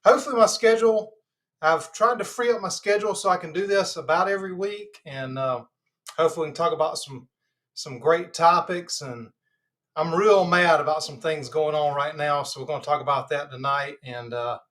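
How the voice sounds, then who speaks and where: This is a man with a Southern drawl from Georgia, a little stuffy in the nose. He chats with his livestream viewers in a loose, meandering way, full of fillers, and his voice sits slightly higher than most men's. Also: normal speed. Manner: relaxed and rambling, many fillers. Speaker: host of a live health channel. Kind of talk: casual livestream chat.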